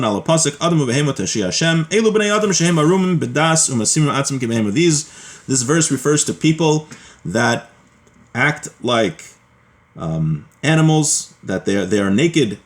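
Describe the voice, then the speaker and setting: A man teaching a religious class, reading a text aloud and explaining it as he goes, with halting repeats and restarts. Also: medium pitch.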